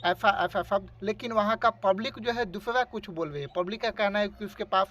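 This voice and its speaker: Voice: medium pitch, fairly quick, fairly bright tone. Insistent interviewer with Bhojpuri-tinged Hindi, sex unclear. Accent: Bhojpuri-influenced Hindi. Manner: insistent, accusatory, repetitive. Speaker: interviewer for an online news channel. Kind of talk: probing call interview, pressing questions.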